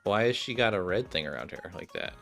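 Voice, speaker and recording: A male gaming streamer with a low voice talks casually over game music. His commentary is offhand and slangy, with lively rises and falls in pitch. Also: a little fast, gravelly.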